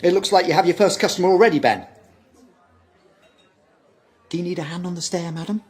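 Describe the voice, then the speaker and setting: A man's voice: sits high, a little fast, lightly acted. A man playing a welcoming, showy visitor-centre manager in an audio drama, effusive and presentational like a tour guide.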